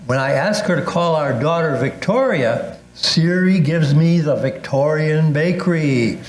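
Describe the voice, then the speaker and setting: A man's voice: on the high side, unhurried, natural voice. An older man in an amateur play reading, playing a droll, grumbling husband with wry comic timing. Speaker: amateur readers theatre performer. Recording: echoey room, faint background noise.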